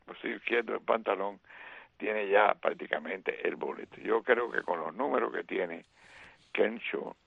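A middle-aged or older man doing animated baseball commentary on radio. He often fills with "eh" and "¿ok?". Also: on the low side, a little slow, gravelly, husky, no echo. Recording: tinny phone-line radio sound.

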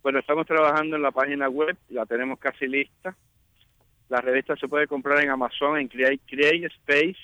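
A man's voice: mid-range voice, steady tone, moderate pace, sharp tone, clean tone, no echo, muffled recording. A man talking as a magazine editor guest in a casual radio interview, chatty and often restarting his sentences.

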